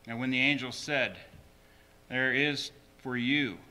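Bright-voiced male pastor preaching. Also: medium pitch, fairly even intonation, takes it slowly, low-quality recording. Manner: emphatic, repeats short phrases. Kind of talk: sermon.

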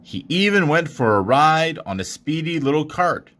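A man reading a children's picture book aloud in an expressive storytelling manner. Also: fairly high pitch, normal speed. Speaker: storybook reader.

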